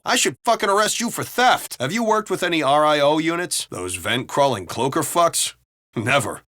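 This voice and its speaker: An actor playing a foul-mouthed, sardonic and excitable American city cop in a video game. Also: male voice, fairly high pitch, no echo, clean studio sound. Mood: irritated, uneasy. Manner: animated, sardonic, profane cop banter. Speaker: video game police officer. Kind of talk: game voice lines, banter and barks.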